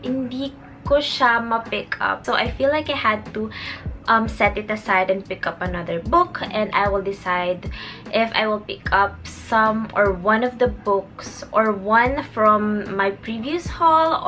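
A woman's voice: medium pitch, a bit bright. A woman, chatting casually to camera in a bedroom book vlog, rambling with restarts and slipping into Tagalog phrases. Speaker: book vlogger. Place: small bedroom, indoors.

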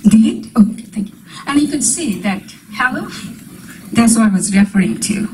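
High-voiced woman lecturing from far off. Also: lively intonation, moderate pace, a little mellow, a little hiss or hum. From Bangladesh. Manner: storytelling, wondering tone, breaks into light laughs. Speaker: guest speaker. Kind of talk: lecture with poetry reading. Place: community meeting room. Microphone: handheld microphone.

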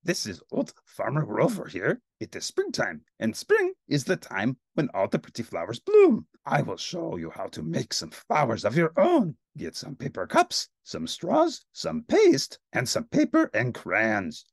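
A performer voicing an excitable, friendly furry monster who plays farmer and teaches crafts earnestly in a children's storybook. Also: medium pitch, measured pace, vocal fry, hardly any pauses, slightly rough, no room sound, clean studio sound, full character voice. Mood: eager, proud. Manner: earnest, exuberant instructing.

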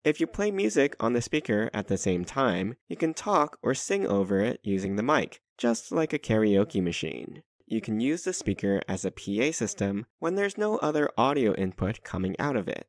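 A man's voice: medium pitch, big pitch swings, moderate pace, hardly any pauses, no room sound. A man reading scripted narration for a product review.

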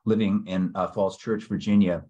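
A man's voice: deep voice, fairly even intonation, normal speed, dark tone, lo-fi sound. A middle-aged man from New England hosting a book club discussion on a video call, thoughtful and hesitant, with many fillers. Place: small indoor room.

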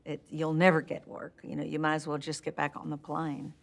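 A woman's voice: low-pitched, monotone, a little fast, gravelly. An older woman from Texas with a Texan accent, candidly recalling her acting start in an indoor interview, laughing often. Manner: warm and candid, laughs often, restarts sentences. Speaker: actress interviewee. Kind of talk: reflective interview talk. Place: indoor room with curtains and plants.

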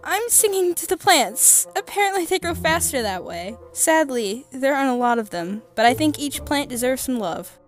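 A woman voicing a sweet, bubbly young female crewmate in a comic dub, with a cutesy, expressive delivery. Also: high-pitched, normal speed, few pauses, faint music behind. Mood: cheerful. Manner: cutesy, sing-song, expressive.

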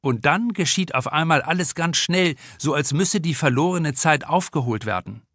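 Bright-voiced male audiobook narrator, dry sound. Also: a little high, animated, moderate pace, no echo. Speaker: author reading his audiobook. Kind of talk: scripted narration of a comic crime novel. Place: studio.

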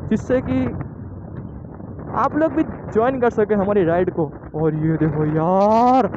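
A young man from Gujarat chatting excitedly to viewers while riding a motorbike, recorded on a helmet mic. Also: high-pitched, takes it slowly. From Gujarat, India. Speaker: motovlogger. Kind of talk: casual motovlog narration while riding. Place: outdoors on a moving motorbike. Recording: muffled lo-fi audio with wind noise.

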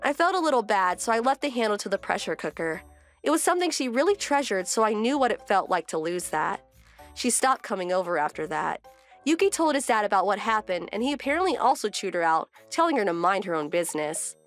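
A woman's voice: medium pitch, big pitch swings, a little fast, faint music behind. A woman voicing a fed-up young wife who narrates her family grievances in a conversational, pointed way for a manga dub. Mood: firm, reflective.

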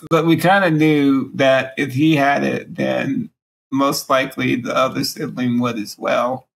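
Raspy man speaking through a breathing machine. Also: medium pitch, fairly even intonation, poor audio. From Alabama. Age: 40s. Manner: speaks around a breathing machine mouthpiece, pausing often. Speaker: guest. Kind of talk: candid personal interview. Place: small room at home, indoors. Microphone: video call.

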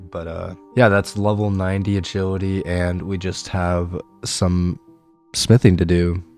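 A young man with a raspy, hoarse voice from being ill, chatting casually over his gameplay and swearing now and then. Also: low-pitched, darkish tone. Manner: laid-back, swears casually. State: hoarse from illness. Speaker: gaming video creator.

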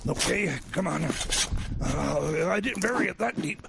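A man's voice: high-pitched, expressive, slow pace, creaky, very noisy, full character voice. A man playing a hapless, grumbling, tipsy time machine mechanic in comedy radio theater, muttering and exclaiming to himself. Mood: searching.

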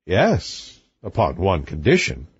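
A man voicing a cool, blunt young prince in a storybook reading, with a husky voice. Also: low-pitched, wildly swooping pitch, slow, no room sound, highs cut off, pitched below the performer's own voice, exaggerated voice.

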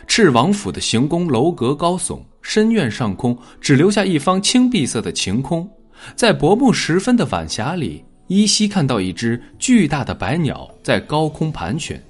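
A man narrating a dramatic scripted story in a measured, expressive storytelling manner. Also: mid-range voice, slightly rough. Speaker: audiobook narrator.

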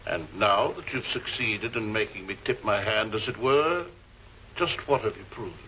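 A man's voice: fairly low pitch, expressive, normal speed, close to an everyday voice. Muffled vintage murder suspect, formal and guarded, acted by a man. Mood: wry. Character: wry, defensive male novelist suspected of murder. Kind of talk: 1940s television crime drama acting. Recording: muffled, noisy old film soundtrack.

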